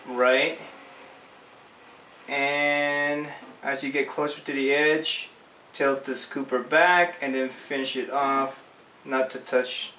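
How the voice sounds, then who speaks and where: A man with a Filipino accent and a bright, forward voice gives a casual hands-on demonstration. He is picked up from a distance in an echoey room. He explains each step in an unscripted, informal way. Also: medium pitch. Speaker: screen printing instructor. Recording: echoey room, distant mic, faint noise.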